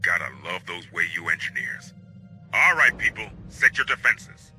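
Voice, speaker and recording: An actor playing a tough, wry marine commander in a video game, brash and commanding. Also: male voice, deep voice, big pitch swings, moderate pace, sharp tone, faint music behind, heavily acted. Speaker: squad commander.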